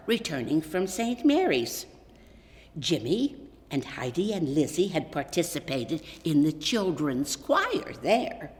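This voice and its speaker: Female narrator, lower register, expressive children's story reading, long hall reverb. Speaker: author reading own story aloud.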